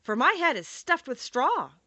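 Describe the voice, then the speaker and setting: A woman's voice: high voice, very animated, measured pace, pushed and bright, dry recording, voice pushed up, heavily acted. A woman reading aloud and voicing an eager male scarecrow stuffed with straw.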